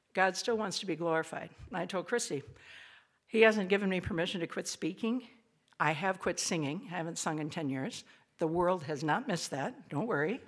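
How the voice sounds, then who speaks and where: An older woman speaks to an audience with a raspy, somewhat low voice. Her delivery is chatty and lively, full of quick asides that poke fun at herself. She sounds like she is in a small old hall. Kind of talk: spoken devotional talk to an audience.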